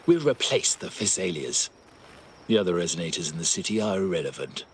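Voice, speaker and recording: An actor playing a ruthless, scheming crime family boss in a video game, with a menacing, commanding manner. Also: male voice, deep voice, very animated, a little fast, slight background noise. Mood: cold, menacing. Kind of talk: dramatic video game dialogue.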